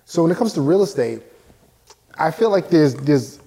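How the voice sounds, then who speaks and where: A man hosting his podcast, a realtor by trade, who chats in an upbeat way and keeps up a steady stream of encouraging replies. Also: fairly high pitch, moderate pace, vocal fry, big-room sound, rough recording. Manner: upbeat, chatty, eager affirmations.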